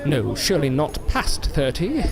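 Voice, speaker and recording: Proud, florid magician, swooping delivery. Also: male voice, a little high, huge pitch swings, unhurried, vocal fry, over music, heavily acted. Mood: teasing, haughty. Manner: theatrical, wordy, formal diction. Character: proud, wounded older male stage magician, florid and courteous. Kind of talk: audio drama acting.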